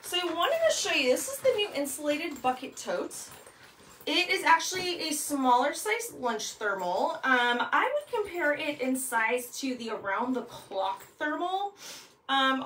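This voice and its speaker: A woman's voice: high voice, sing-song, unhurried, fairly bright tone, recorded from a distance, a little hiss or hum. A woman in her thirties chattily demonstrating bags in a product vlog, with frequent ums. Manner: chatty, upbeat, lots of ums. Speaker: bag consultant. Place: small room indoors. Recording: echoey room, mic set back.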